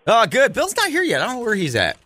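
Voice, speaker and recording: A man's voice: high voice, sharp tone. A man hosting a sports talk radio show, delivering commentary from a studio.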